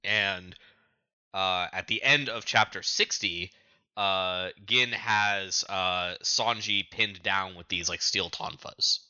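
Male podcast co-host. Deep, sharp-edged voice, stop-start casual storytelling, slightly lo-fi sound. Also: takes it slowly, clear, resonant tone, no echo. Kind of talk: casual recap of manga chapters.

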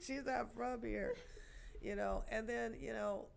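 A woman in her sixties, a guest poet, telling her life story in a podcast interview with many pauses and restarts. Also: fairly high pitch, steady tone, vocal fry. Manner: halting, many fillers and restarts.

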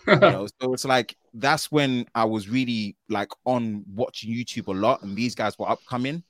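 A man from the United Kingdom, a tech video creator guesting on a podcast, talking in an animated, slangy way and often ending phrases with "man.". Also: medium pitch, moderate pace, dry recording. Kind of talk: casual podcast conversation.

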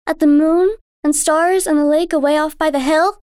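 A woman's voice: sits high, fairly even intonation, moderate pace, darkish tone, dry recording, clean studio sound, pitched above the performer's own voice, natural voice. A woman voicing a sweet, curious young girl in a storybook, with an eager, earnest, questioning manner. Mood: dreamy wonder.

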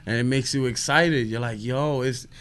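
A male stand-up comedian in casual chat, talking haltingly and restarting his lines often. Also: mid-range voice, a bit bright, clear, resonant tone.

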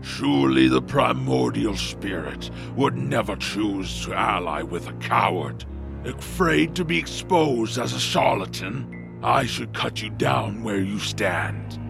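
An actor playing a haughty, threatening orc warrior with a gravelly voice in an audio drama. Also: male voice, medium pitch, lively intonation, over music, heavily acted. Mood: menacing, scornful. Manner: pompous, threatening, deliberate. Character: menacing, haughty orc clan warrior issuing challenges.

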